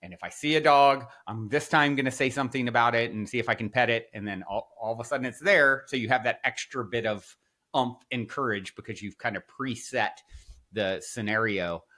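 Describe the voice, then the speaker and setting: A man's voice: medium pitch, brisk, pushed and bright. A man hosting a conversational podcast interview, encouraging and affirming his guest often. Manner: encouraging, frequent affirming backchannels. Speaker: podcast host.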